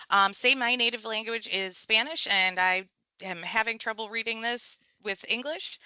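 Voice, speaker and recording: Bright-voiced woman giving a software tutorial. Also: fairly high pitch, no room sound, muffled recording. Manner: conversational, walks through clicks step by step. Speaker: tech trainer. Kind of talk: screen-share software tutorial.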